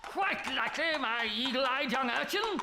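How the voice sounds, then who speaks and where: An actor playing a pompous, blustering Edwardian explorer captain with a plummy upper-class manner, in live radio comedy. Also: male voice, extremely high pitch, lively intonation, a little slow, gravelly, full character voice.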